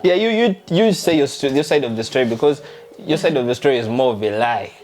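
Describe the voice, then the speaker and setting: A man's voice: medium pitch, expressive, quick pace, darkish tone. A man in his thirties with a Ugandan accent, chatting casually with his wife in a hesitant, joking way. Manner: hesitant, joking, repeats phrases. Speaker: guest husband. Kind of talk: casual chat.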